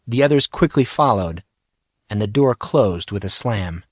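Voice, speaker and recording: A man narrating scripted fiction in a measured, expressive storytelling manner. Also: lowish voice, dry recording, studio quality. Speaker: audiobook narrator.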